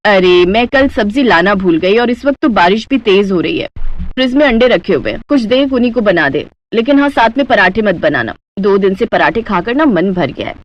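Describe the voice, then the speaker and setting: A woman's voice: medium pitch, lively intonation, fairly quick, lo-fi sound, lightly acted. A woman voicing a bossy, stingy rich housewife with a curt manner in a Hindi cartoon story. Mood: casual.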